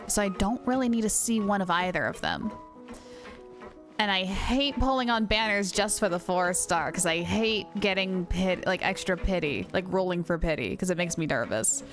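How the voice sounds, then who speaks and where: A woman livestreamer chatting casually over a game, with an excitable, gushing manner. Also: lowish voice, moderate pace, faint music behind. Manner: excitable, gushing, baby-talks to her dog. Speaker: live game streamer. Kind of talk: casual livestream chat while gaming. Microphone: streamer's mic, wearing headphones.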